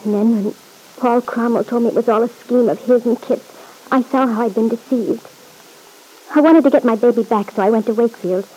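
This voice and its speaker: Plaintive young mother, vintage radio serial; anxious and defensive, gentle dark tone, breathy edge. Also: on the high side, a little fast, low-quality recording. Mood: remorseful. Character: anxious, guilt-ridden young mother, timid and pleading. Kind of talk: old-time radio soap opera acting.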